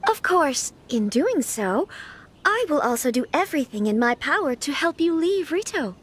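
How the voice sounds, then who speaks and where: A woman's voice: sits high, sing-song, moderate pace, a bit bright, slight background noise. A woman playing a young noblewoman in a video game; she speaks formally and courteously, with small nervous stammers now and then. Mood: earnest.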